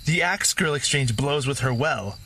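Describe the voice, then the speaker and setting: A man's voice: medium pitch, lively intonation, moderate pace, bright, forceful tone, slightly rough. A man playing a laid-back wandering ex-warrior who teases his companions and narrates his own story. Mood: encouraging. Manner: easygoing, teasing; reflective in narration. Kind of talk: first-person narration and dialogue.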